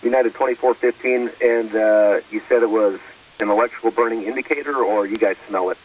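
A man working as an air traffic controller speaks in a quick, flat delivery with clipped procedural phrasing. He comes over a narrow, phone-like radio link that sounds distant and a little husky. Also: lowish voice. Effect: aviation radio.

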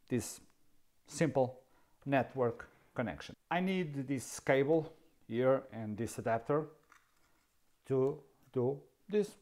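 A man in his fifties with a Portuguese accent, a native Portuguese speaker, explaining camera gear in a dry, sarcastic way, seated in a dark studio. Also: mid-range voice. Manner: wry, sarcastic, asks himself questions. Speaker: camera video host. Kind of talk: casual tech explainer.

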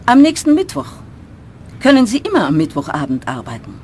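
A woman's voice: on the high side, wildly swooping pitch, fairly quick, darkish tone, slight background noise. A woman voicing a travel agency manager who interviews an applicant, in a scripted textbook dialogue. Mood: businesslike.

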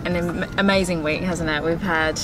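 Low-voiced woman over loud boat noise. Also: steady tone, moderate pace. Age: 30s. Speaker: sailing vlogger. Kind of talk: casual chat to camera. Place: outdoors on a sailing catamaran. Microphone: handheld camera at arm's length.

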